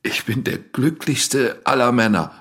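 A man's voice: medium pitch, measured pace, fairly bright tone, slightly rough. An actor playing a troubled married man under suspicion, defensive, in an intimate radio drama. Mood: tipsy, pleading.

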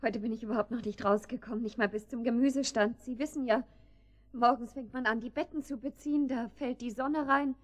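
A woman's voice: a little high, fairly even intonation, brisk, dry recording, close to an everyday voice. A woman playing an anxious, naive housewife in a radio drama, speaking hesitantly and defensively. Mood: flustered, polite. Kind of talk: German radio drama acting.

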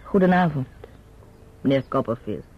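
An actor playing a grave, politely formal well-wisher in a Dutch radio play. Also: male voice, mid-range voice, expressive, normal speed, slightly rough. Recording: muffled, faintly noisy old recording.

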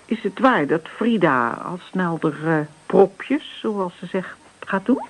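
A worried middle-aged farm mother in a radio drama. Her voice is husky and rises and falls a great deal. She admonishes gently and hesitantly, full of concern. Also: lowish voice, animated, takes it slowly, rough recording. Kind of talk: Dutch radio play acting.

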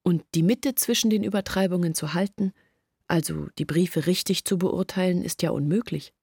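An actress playing an anxious young village woman who earnestly pleads her family's case in a radio play, intimate and deliberate. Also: lowish voice, dark tone, husky, no echo, studio quality. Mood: pleading. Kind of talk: literary radio play monologue.

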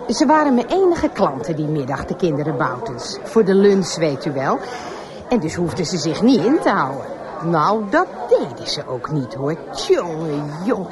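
Lively gossiping café landlady. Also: deep voice, normal speed, a crowd in the background. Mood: gossipy, animated. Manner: colloquial, excitable storytelling, mimicking others. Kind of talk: radio drama acting.

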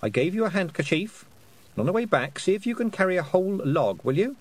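Man voicing a firm, sensible girl, raised pitch. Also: very animated, fast. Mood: severe. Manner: firm, parental, clipped. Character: sensible, stern young girl, bossy big sister.

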